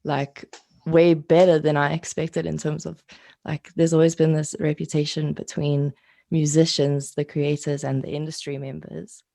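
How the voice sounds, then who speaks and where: A woman's voice: low-pitched, little pitch movement, moderate pace, creaky, mellow. A woman hosting a conversational podcast interview. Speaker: podcast host.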